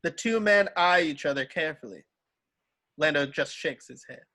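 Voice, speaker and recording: A man's voice: fairly high pitch, expressive, normal speed, sharp tone. A man reading screenplay stage directions aloud as scripted narration.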